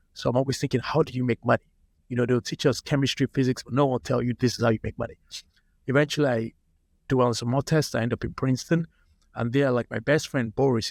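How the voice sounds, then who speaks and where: A man in his late thirties from Nigeria, telling relaxed stories as a founder in a casual podcast interview. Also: mid-range voice, creaky, no echo. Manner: relaxed anecdotal storyteller. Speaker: startup founder, guest.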